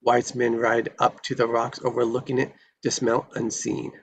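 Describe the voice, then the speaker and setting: A man's voice: medium pitch, monotone, normal speed, a little hiss or hum. A man reading screenplay stage directions in a dramatic narrating style at a table read.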